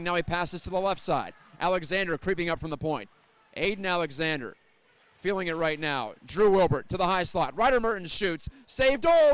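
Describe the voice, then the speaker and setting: A man gives live hockey commentary in a clear, fairly high voice. His delivery is descriptive and measured, ready to lift with the play, and the sound is close and dry. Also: a bit bright, no echo. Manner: measured, descriptive, lifting on scoring chances. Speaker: play-by-play announcer. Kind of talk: live ice hockey radio-style commentary.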